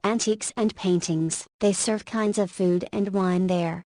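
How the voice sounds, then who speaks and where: A woman's voice: mid-range voice, steady tone, unhurried, mellow, dry recording, lightly acted. Actress as coy, admiring middle-aged woman. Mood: impressed, coy. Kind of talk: visual novel voice acting.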